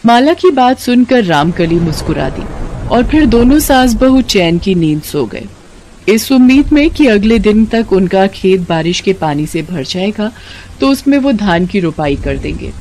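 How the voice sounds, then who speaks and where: Woman narrating a story, medium pitch. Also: sing-song, unhurried, warm tone, slight background noise, rough recording.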